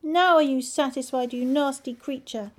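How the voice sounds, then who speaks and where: A woman's voice: on the high side, animated, moderate pace, dark tone, pitched above the performer's own voice, lightly acted. A woman doing a frightened young princess. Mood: angry.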